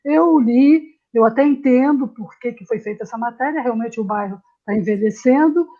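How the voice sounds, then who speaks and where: Female Brazilian interviewer, conversational, high register, slightly muffled lo-fi sound. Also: normal speed. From Belo Horizonte, Minas Gerais, Brazil. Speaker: host, interviewer.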